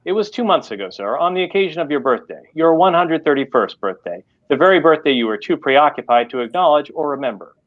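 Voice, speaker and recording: A man's voice: on the high side, sing-song, fast talker, hardly any pauses. Audiobook AI butler, read by a man: male, refined American, prim, sardonic, slightly lo-fi sound. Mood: dry, insistent. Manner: formal, exacting, sardonic. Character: refined, dry, scolding AI butler, male, looks sixties.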